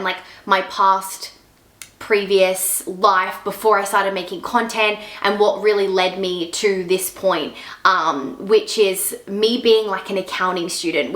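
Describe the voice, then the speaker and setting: A woman's voice: mid-range voice, bright, forceful tone, echoey room. A woman, chatting casually to camera in a Q&A vlog, full of "like" and "um". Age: 20s. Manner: chatty, many fillers like "like" and "um". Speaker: fitness content creator.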